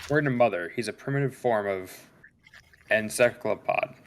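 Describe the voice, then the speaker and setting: A man's voice: lowish voice, fairly quick, a little hiss or hum, natural voice. A man playing a cool, pedantic science officer on a spaceship, precise and clinical in a scripted read.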